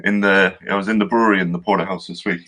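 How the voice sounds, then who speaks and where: A man's voice: deep voice, steady tone, fast, a crowd in the background. A man, a guest brewer, chatting in an easygoing way on a video call. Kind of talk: casual video call chat.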